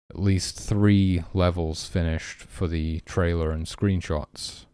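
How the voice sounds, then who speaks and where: A man's voice: sits low, darkish tone, smooth, dry recording, studio quality. A man talking casually and hesitantly as he thinks aloud while working, like an indie developer on a stream. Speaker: indie game developer streaming his work.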